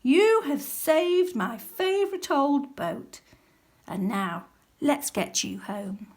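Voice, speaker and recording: A woman's voice: sits high, lively intonation, takes it slowly, pitched above the performer's own voice, heavily acted. Woman reading as a practical old storybook grandfather. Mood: pleased, reassuring.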